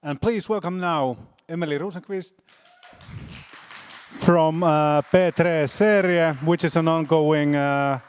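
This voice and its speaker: A man's voice: on the high side, steady tone, takes it slowly, a little hiss or hum. A man hosting a conference session, thanking and introducing speakers from the stage. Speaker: session host.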